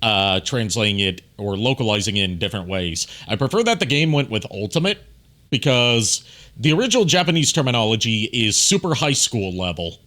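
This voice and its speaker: A man's voice: fairly low pitch, animated, brisk, sharp tone. A man giving casual, chatty commentary as a streamer, often explaining things in asides. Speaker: streamer and voice actor commenting on a fan dub he was in.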